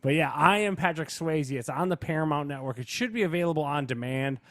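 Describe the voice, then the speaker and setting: A man's voice: on the high side, animated, a little fast, fairly bright tone, no echo. A man co-hosting a movie podcast in casual chat, slangy and opinionated, saying bluntly what he thinks. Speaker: podcast co-host reviewing movies.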